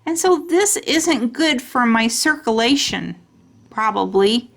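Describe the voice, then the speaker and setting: A woman voicing an excitable, sassy little kindergarten girl from a storybook, with childish, emphatic kid talk. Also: on the high side, slow pace, vocal fry, heavily acted. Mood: impatient. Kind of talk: children's storybook character reading.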